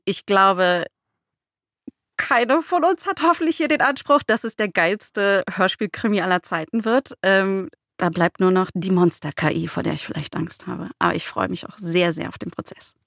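A woman's voice: mid-range voice, moderate pace, creaky, fairly bright tone, dry recording. A woman co-hosting a podcast in a studio, chatting casually in informal, slangy language. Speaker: podcast co-host and screenwriter. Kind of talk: casual chat.

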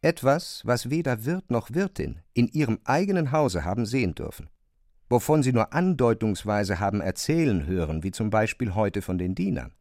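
A man's voice: lowish voice, big pitch swings, moderate pace, darkish tone, no echo, clean studio sound. A man reads scripted literary narration for a radio drama.

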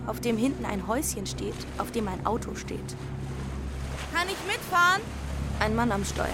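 A woman's voice: fairly high pitch, natural voice. An actress playing a cheeky, dreamy teenage runaway girl in a radio play, pert and unabashed. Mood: shouting, pleading. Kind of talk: radio play acting and first-person narration. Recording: loud engine and water noise behind.

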